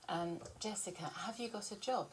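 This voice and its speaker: A woman working as an exam interviewer, asking short, measured questions in a formal manner. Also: mid-range voice, slight background noise. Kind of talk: scripted test questions.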